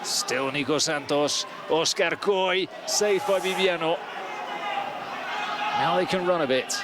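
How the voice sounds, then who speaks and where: An English-accented man calls a football match play by play in a measured, analytical way. His voice sits a little high. A loud crowd and heavy noise from the venue fill the background. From Spain. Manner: measured, analytical calling of the action. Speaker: football commentator. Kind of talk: play-by-play commentary.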